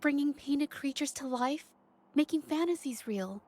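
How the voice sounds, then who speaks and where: A woman's voice: high-pitched, lively intonation, measured pace, darkish tone. A woman playing a shy, earnest young artist in a video game, speaking in a hesitant, apologetic way. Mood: earnest, wistful.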